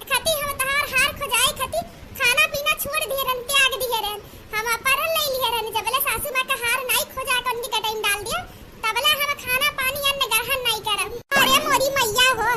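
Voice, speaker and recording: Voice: very high-pitched, background noise. A performer voicing a dramatic, scheming village woman in an Awadhi comedy cartoon, theatrical and full of exclamations and complaints. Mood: alarmed, exclaiming. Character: dramatic scheming village women of a squabbling family. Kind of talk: exaggerated comic cartoon dialogue in rural dialect.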